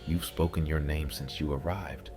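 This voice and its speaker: A man voicing a menacing, hollow lantern spirit in a horror story, with a deep, rasping delivery. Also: steady tone, normal speed, over music.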